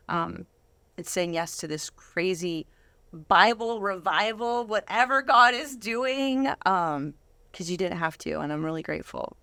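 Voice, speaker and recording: Female host, lower register, effusive and earnest, casual interview, very dry studio sound. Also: fairly bright tone, no room sound.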